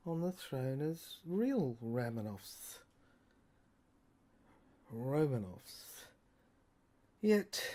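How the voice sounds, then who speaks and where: A woman playing a rambling, sardonic lab technician who lectures haltingly in an audio drama, repeating and correcting herself and asking rhetorical questions. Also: low voice, dark tone, heavily acted. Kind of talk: improvised-sounding lecture monologue in an audio drama.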